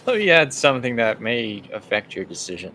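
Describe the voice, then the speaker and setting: A man voicing a smooth, condescending crime family boss in a tabletop roleplay, speaking deliberately and formally. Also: mid-range voice, sing-song, sharp tone, slight background noise. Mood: calmly menacing. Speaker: guest game master.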